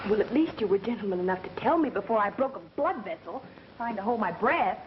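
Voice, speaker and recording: A woman's voice: mid-range voice, lively intonation, fast, natural voice. An actress playing a plucky, wisecracking young American woman sleuthing as a sidekick, in 1930s film style. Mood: flustered. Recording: muffled, noisy vintage film soundtrack.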